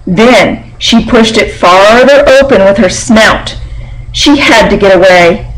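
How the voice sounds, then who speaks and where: A woman reading a children's storybook aloud in a measured, expressive way. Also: medium pitch, rough recording. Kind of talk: storybook read-aloud narration.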